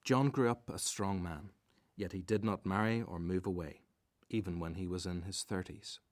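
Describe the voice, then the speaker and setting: A man with a lowish voice narrates a scripted story for radio. The sound is dry and close, and he tells it as a storyteller would. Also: steady tone, moderate pace, no echo.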